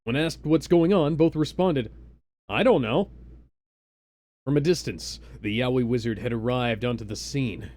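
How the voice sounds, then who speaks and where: A man's voice: mid-range voice, unhurried, a little mellow. A man reading a comic script theatrically on a live stream, sometimes breaking off into banter. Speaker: co-reader on a live comedy stream.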